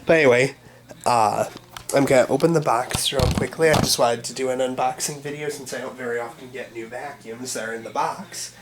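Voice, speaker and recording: A man with a cold, sounding congested, talking through an unboxing at home in a halting, unscripted way with many pauses and fillers. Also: mid-range voice, steady tone, background noise. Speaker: vacuum hobbyist doing an unboxing.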